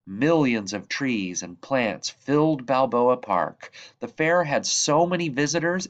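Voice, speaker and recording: A man reading a children's book aloud as a narrator, with measured, expressive phrasing and emphasis on key words. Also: medium pitch, highs cut off. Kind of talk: read-aloud storybook narration.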